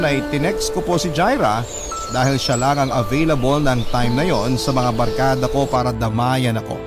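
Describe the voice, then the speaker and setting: A man's voice: medium pitch, lightly acted. An actor playing a heartbroken young man who earnestly recounts his story in a radio drama, with music behind. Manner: earnest, confessional, addresses the host politely. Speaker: letter sender narrating.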